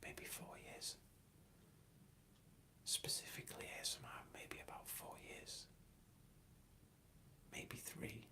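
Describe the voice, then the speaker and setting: A man whispering an ASMR question-and-answer ramble in a soft, hesitant and thoughtful way. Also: slow pace, pauses often.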